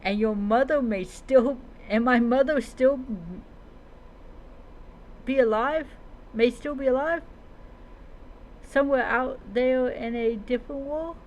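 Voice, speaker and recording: A woman's voice: high-pitched, takes it slowly, long pauses, a little mellow, voice pushed up, low-quality recording. A woman playing an incredulous young dragon queen and daughter, speaking with questioning emphasis in a fantasy roleplay. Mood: incredulous, excited.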